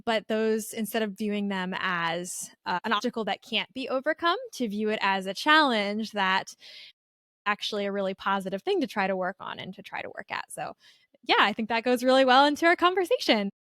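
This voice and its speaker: A woman's voice: medium pitch, expressive, a little fast, fairly bright tone, no echo, clean studio sound. A woman co-hosting a podcast in friendly, informal conversation. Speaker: podcast co-host.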